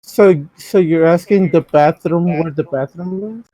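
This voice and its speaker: A man's voice: a little high, unhurried, mellow, no echo, rough recording. A man teasing friends in casual chat with sarcastic, mock-threatening jokes. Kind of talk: casual banter.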